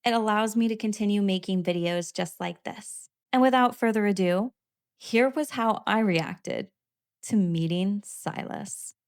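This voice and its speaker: A woman doing casual game commentary and reading lines aloud, expressive, blunt and sassy. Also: medium pitch, a little slow. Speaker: video creator reacting to a game.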